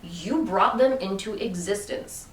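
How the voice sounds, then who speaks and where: A woman's voice: medium pitch, unhurried. A woman vlogging in a casual, chatty storytelling way, full of fillers and restarts. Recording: echoey room from a distance with faint ambience.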